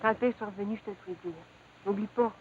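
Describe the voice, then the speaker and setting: Casting: woman playing tender but firm young rural sweetheart, Quebec French accent, mid pitch, period TV drama, hissy phone-thin sound. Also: fairly even intonation, racing, lightly acted. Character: Donalda, devoted, principled young rural sweetheart. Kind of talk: 1950s television period drama acting. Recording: tinny, noisy 1950s television soundtrack.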